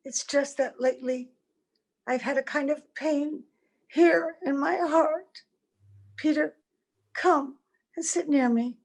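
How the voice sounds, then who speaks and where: An actress playing a gentle, wistful, mysterious wife in a radio play, speaking with tender care. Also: female voice, high-pitched, slow pace, long pauses. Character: gentle, wistful ageless wife, mysterious visitor, secretly old. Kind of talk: old-time radio drama acting. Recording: home video call with music.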